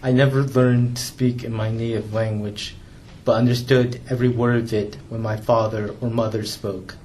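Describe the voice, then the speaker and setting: A man's voice: mid-range voice, flat delivery, a little mellow, a little hiss or hum, low-quality recording. Young man reading his memoir. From Canada. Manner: measured, restrained reading with occasional small stumbles and repeats. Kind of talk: literary reading aloud.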